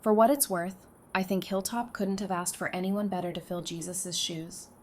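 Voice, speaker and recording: A woman's voice: lowish voice, steady tone, normal speed, lightly acted. A woman acting a caring, blunt wife and mother with casual, wry banter in an audio drama. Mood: reassuring. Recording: noticeable background noise.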